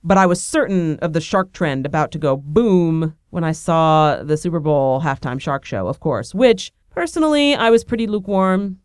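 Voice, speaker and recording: A woman hosts her podcast in a bubbly, rambling, self-deprecating monologue, speaking with a cold. Her voice sits on the low side yet stays smooth and clear. The recording is dry and close, with no room sound around her. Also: animated, normal speed, hardly any pauses, no echo. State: has a cold. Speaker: podcast host. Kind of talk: casual chatty monologue.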